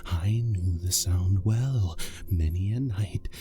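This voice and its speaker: A man playing an unhinged, obsessive murderer who narrates a gothic horror tale with menacing, deliberate, drawn-out phrasing. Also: low voice, mellow. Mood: hushed, sinister.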